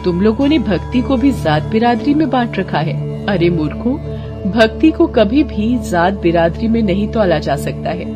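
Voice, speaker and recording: A woman's voice: medium pitch, lively intonation, moderate pace, music in the background, natural voice. A woman voicing a righteous, wrathful mother goddess in a devotional story, commanding and scolding as she declaims. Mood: stern, benevolent.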